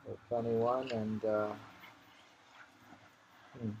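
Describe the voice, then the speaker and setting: A man's voice: lowish voice, little pitch movement, very slow, mellow, lo-fi sound. A man reading poetry aloud and commenting informally, musing and rambling as he goes, often repeating phrases. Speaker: poetry reader. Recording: noisy amateur home recording.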